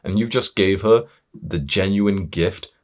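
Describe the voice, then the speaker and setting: Very deep-voiced blunt young man. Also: a little slow, a little mellow. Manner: blunt, sweary, confrontational tough-love delivery. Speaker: self-improvement coach. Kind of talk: motivational monologue to viewers.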